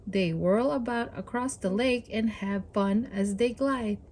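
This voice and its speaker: A woman reading aloud to young children as a storybook host, in an expressive, deliberate and encouraging way. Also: medium pitch, a little hiss or hum.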